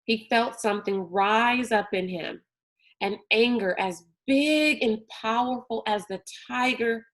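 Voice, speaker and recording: A woman narrating a children's novel aloud in a deliberate, expressive storytelling manner. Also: on the high side.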